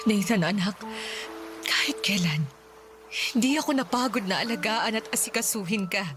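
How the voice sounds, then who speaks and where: A woman's voice: mid-range voice, moderate pace, over music, natural voice. An actress with a Filipino accent playing a devoted, protective, worried mother in a radio drama, speaking tenderly and emotionally. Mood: tearful, comforting. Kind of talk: Tagalog radio drama acting.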